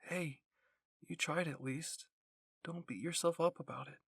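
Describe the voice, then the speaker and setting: A man voicing a caring but firm young woman soldier in an anime fan fiction roleplay, gently scolding. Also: fairly high pitch, unhurried, creaky, husky, no room sound, clean studio sound, pitched above the performer's own voice, heavily acted. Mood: consoling.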